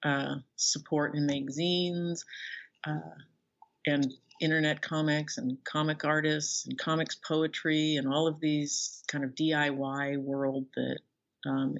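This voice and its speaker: A woman's voice: deep voice, muffled recording. A middle-aged woman speaking casually as an author being interviewed, rambling and restarting her sentences as she goes.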